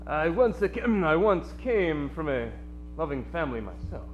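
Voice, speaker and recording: A man's voice: fairly high pitch, sing-song, measured pace, echoey room, muffled recording, a little hiss or hum. A man playing an oily, polite bartender with a foreign accent in a radio parody. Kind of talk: old-time radio parody acting.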